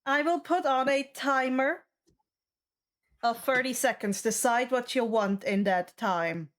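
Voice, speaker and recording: High-pitched, slow-paced female game master. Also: fairly bright tone. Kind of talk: narrating and refereeing a tabletop game.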